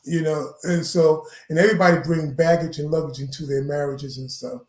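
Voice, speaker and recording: A man's voice: fairly high pitch, steady tone, a little fast, a little mellow. A man giving an earnest, instructive explanation in a conversational way, as a guest educator. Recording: echoey room sound.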